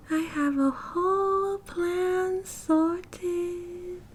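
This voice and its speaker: A woman's voice: extremely high pitch, warm tone, exaggerated voice. A British woman voicing a cheerful, possessive young yandere kidnapper in an ASMR roleplay, with a cutesy, doting manner. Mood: breezy, doting. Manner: giggly, cutesy, doting, breezy. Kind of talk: ASMR roleplay, intimate one-sided conversation. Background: car driving sounds.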